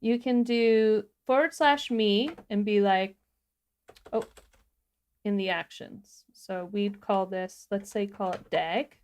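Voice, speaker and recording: A woman's voice: mid-range voice, fairly even intonation, slow pace. Woman casually walking through a tutorial. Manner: informal step-by-step explaining. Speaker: livestream co-host demonstrating a tutorial.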